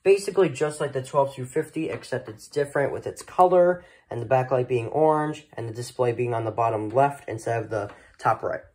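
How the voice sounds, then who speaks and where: A man giving a casual, unscripted show-and-tell as a hobbyist presenter, halting and often trailing off, recorded indoors at home. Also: mid-range voice, darkish tone. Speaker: hobbyist presenter of a weather radio collection.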